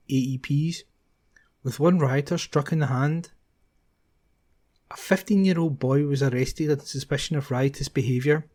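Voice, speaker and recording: A man reading newspaper articles aloud in a steady, careful way, now and then restarting a word. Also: on the high side. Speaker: volunteer newspaper reader.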